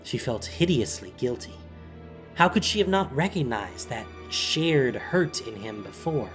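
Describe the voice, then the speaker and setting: A man's voice: medium pitch, lively intonation, measured pace, over music. A man reading scripted fantasy narration in a dramatic storytelling style, as the narrator of an audio drama.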